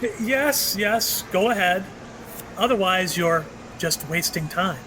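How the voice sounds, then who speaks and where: A man's voice: high voice, expressive, slow, bright, forceful tone, slight background noise, heavily acted, poor audio. A man playing a mysterious, ageless shopkeeper in an audio drama, knowing and slyly wry, dropping cryptic, teasing hints. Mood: coaxing. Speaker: actor in an audio play.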